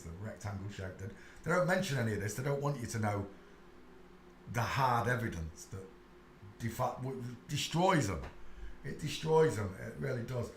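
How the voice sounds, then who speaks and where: A man's voice: medium pitch, slight background noise. Man rambling in an echoey room. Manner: insistent, emphatic, trailing asides with many "uh"s. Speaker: channel host. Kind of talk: casual rambling monologue over pictures. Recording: echoey room with faint ambience.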